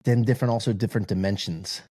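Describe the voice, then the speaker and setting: A man's voice: on the low side, fairly even intonation, fast, warm tone, breathy edge, no room sound, clean studio sound. A man hosting a podcast, asking long, rambling questions in a jokey, casual way. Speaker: podcast host. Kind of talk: casual interview chat.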